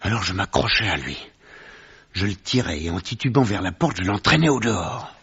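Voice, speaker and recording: An actor playing a grave gentleman narrator who recounts horror in measured, literary French radio drama style. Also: low-pitched, animated, husky, exaggerated voice. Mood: dread, strained. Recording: dull, noisy old radio recording.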